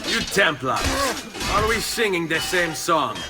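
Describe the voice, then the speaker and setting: A young Italian assassin, cool and vengeful, in a game cutscene. He speaks with an Italian accent and a confident, resolute delivery, beneath loud dramatic music. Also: on the high side, very animated, a little slow. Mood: defiant. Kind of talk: video game cutscene acting. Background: dramatic game score.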